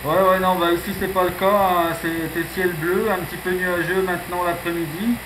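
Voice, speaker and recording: High-voiced man, radio operator, casual jargon-filled chat, echoey sound, clear background noise. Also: fairly even intonation, normal speed. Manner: frequent verbal tags and radio jargon. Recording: echoey, noisy shortwave radio contact recording.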